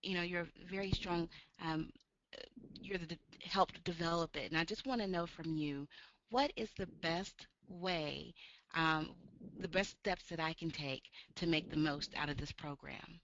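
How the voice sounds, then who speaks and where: An earnest woman with a low voice who phones in to a live call-in session, her line a little dull. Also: a little slow, fairly bright tone, slight background noise. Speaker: caller. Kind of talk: casual phone question.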